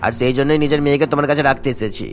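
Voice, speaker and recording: A man's voice: a little high, rapid-fire, lightly acted. An actor playing a firm middle-aged father in a cartoon story, insistent and given to lecturing. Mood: apologetic. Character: firm middle-aged father, village-born doctor, fond of home. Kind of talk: cartoon character acting.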